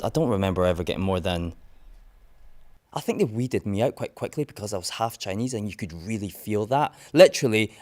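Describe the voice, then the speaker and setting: A man with a fairly low voice reminisces as an actor in an interview. He talks conversationally and emphatically, often repeating himself for stress. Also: a little mellow.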